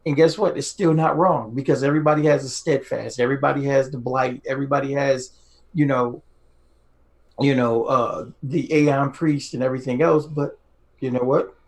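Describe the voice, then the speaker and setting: Medium-pitched man, rambling casual interviewer. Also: steady tone, normal speed. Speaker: podcast interviewer. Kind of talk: casual chat.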